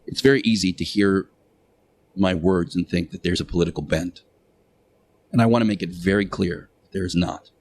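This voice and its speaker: An earnest religious teacher played by a man delivers a radio sermon in a fairly low voice. He is preachy and admonishing, leaning on key words with deliberate pauses and repetition. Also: a little mellow, a little hiss or hum, natural voice. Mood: measured, earnest. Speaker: radio show host. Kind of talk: radio monologue, moral sermon.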